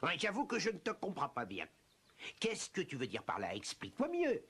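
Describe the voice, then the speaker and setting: An actor voicing a languid, curt caterpillar in a French animated film, drawling offhand with hums and pauses. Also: medium pitch, slightly rough, full character voice. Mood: aloof, questioning.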